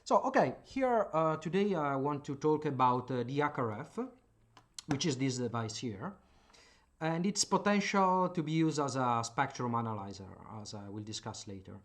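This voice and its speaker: A man's voice: mid-range voice, animated, normal speed. A man from Italy with an Italian accent, explaining radio gear at his home workbench in a hesitant way, full of filler sounds. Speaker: hobbyist channel host. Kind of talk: casual explanatory demo.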